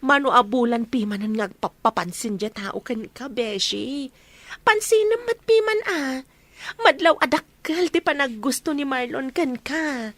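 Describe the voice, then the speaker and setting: A chatty, teasing young Ilocano woman, acted by a woman as the meddling best friend. Her voice is very high and bright, recorded dry, in Filipino radio comedy style. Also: animated, a little slow, dry recording, heavily acted. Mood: teasing, coaxing.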